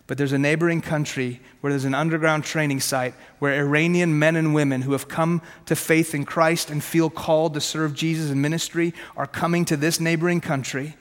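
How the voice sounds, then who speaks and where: A man whose first language is Farsi preaches with brisk, conversational punch, firing rhetorical questions and teasing himself. His voice sits a little higher than most men's. A long reverb hangs around him, as in a big church hall. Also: fairly even intonation. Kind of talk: sermon with personal testimony.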